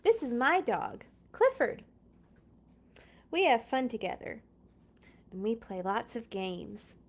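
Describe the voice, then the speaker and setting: Slow, lively woman reading to children. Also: on the high side. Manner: expressive, playful, chatty. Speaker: storyteller reading a picture book aloud. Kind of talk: children's read-along with casual asides.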